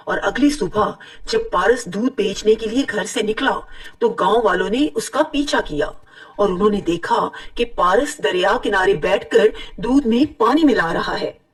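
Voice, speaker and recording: A woman narrating an animated moral story in a steady, moralizing telling. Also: mid-range voice, normal speed, recorded from a distance, low-quality recording. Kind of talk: scripted cartoon narration.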